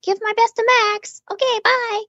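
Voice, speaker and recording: Woman doing a high chirpy little cartoon kid. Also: fairly even intonation, moderate pace, a bit bright, no echo, dull audio. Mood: chirpy, placating. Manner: chirpy, placating, childlike. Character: sweet little child cartoon character on the phone.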